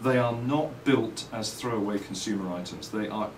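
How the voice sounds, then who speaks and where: A middle-aged man with an English accent, giving reflective, articulate interview answers in measured phrases and telling anecdotes as a company owner and designer. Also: fairly low pitch. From England. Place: indoors at a trade show. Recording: roomy, distant mic, faint background noise.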